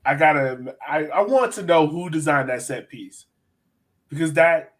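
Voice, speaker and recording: A man with a slightly high-pitched voice hosts a sports podcast. He talks through soccer games casually, giving his opinions in a conversational way. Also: unhurried. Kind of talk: casual soccer match analysis.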